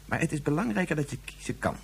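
Preoccupied sci-fi father: very husky, lively intonation, formally explanatory, radio play. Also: a little high, quick pace, full character voice. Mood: explaining. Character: preoccupied, reasoning father in a future society. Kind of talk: radio drama acting.

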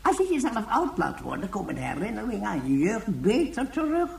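Creaky, lively-pitched elderly explainer. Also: female voice, brisk. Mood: reflective. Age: older. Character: courteous, knowledgeable elderly person, leisurely storyteller. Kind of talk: radio play acting. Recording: echoey room sound.

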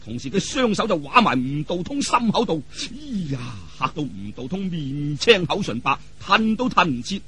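A man's voice: high voice, sing-song, normal speed, hardly any pauses, bright, forceful tone, husky. A man telling a martial arts tale in Cantonese in a theatrical, colloquial traditional storytelling style, full of vivid exclamations and spoken sound effects. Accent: Hong Kong or Guangdong Cantonese.

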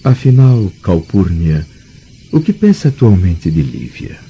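A dull, muffled radio drama recording carries a proud, troubled Roman nobleman of middle age. His Brazilian-accented voice is low and very husky. The delivery is formal and anguished, swinging widely in pitch. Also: sing-song, a little slow, slight background noise, heavily acted. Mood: bitter, pleading.

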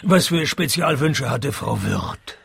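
A man playing a grumpy, sardonic middle-aged private detective with a raspy, gravelly voice in a radio play. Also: fairly high pitch, huge pitch swings, slow pace, a bit bright, no room sound, heavily acted. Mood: dry sarcasm. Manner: dry, sardonic, world-weary. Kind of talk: German-language radio play, dialogue and first-person narration.

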